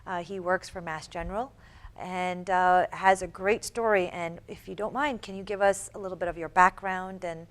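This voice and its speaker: A woman's voice: fairly low pitch, steady tone, moderate pace, fairly bright tone. A woman co-hosting a TV interview show, introducing the guest with many fillers. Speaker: co-host.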